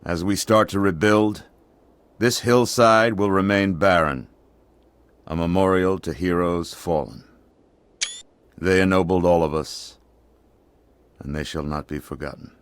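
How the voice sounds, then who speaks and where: Grave older military leader, game cutscene, real low and slow, very dry close sound. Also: male voice, fairly even intonation, no room sound. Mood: solemn, resolute. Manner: grave, formal, measured oratory. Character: gruff, solemn older male military commander giving a eulogy. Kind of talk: dramatic video game cutscene acting.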